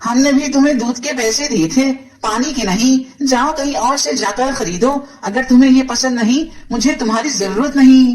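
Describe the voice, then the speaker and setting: A man putting on the voice of a rude village shopkeeper in an animated cartoon, loudly dismissing his customer. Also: very high voice, normal speed, hardly any pauses, reverberant, far from the mic, pitched above the performer's own voice. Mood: dismissive. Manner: loud, dismissive shouting.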